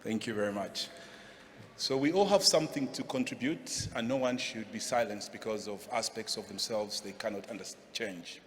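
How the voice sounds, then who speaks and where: A man's voice: fairly low pitch, moderate pace. Man presenting in a reverberant hall. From Zambia. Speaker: award presenter, academic. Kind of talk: formal scripted presentation speech. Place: large event hall, on stage. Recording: echoey hall, noticeable background noise.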